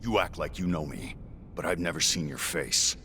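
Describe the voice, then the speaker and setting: An actor playing a stern, impatient fighter in a video game, curt and confrontational. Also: male voice, sits low, normal speed, bright, forceful tone, a little hiss or hum. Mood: demanding. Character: stern, blunt male fighter, impatient and demanding. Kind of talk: video game cutscene acting.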